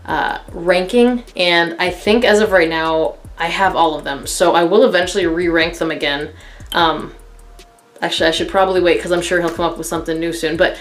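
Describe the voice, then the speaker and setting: A woman in her thirties casually reviewing a fragrance to camera from her living room, candid and chatty with many fillers. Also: on the low side. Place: living room in front of a couch, indoors.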